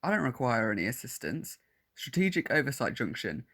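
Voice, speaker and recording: A man's voice: mid-range voice, big pitch swings, a little fast, pushed and bright, exaggerated voice. Man acting a cold, formal alien war android. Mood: guarded, demanding. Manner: formal, clipped, clinical speech. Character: cold menacing alien war android posing as teenage boy. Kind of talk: audio drama acting.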